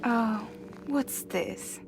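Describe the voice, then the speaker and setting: An actress playing a wounded but defiant young woman in a video game cutscene, hushed, biting and vengeful. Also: fairly high pitch, very slow, background noise.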